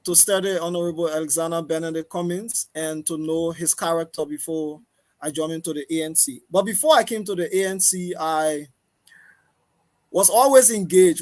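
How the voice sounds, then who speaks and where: High-pitched Liberian man, deliberate speaker. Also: a little mellow. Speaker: guest, political talk show host. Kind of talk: interview answers, self-introduction.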